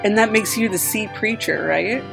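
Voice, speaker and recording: Gravelly-voiced playful woman. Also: medium pitch, normal speed, music in the background. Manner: playful, laughs easily, uses fillers. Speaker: sound effects designer. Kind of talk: casual chat. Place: apartment.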